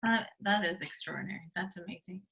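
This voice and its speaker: A woman hosts an interview in a very bright voice at a middle pitch. She is effusive and circles into her questions, restarting phrases as she goes. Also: fast, creaky. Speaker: interviewer, host. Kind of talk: conversational interview.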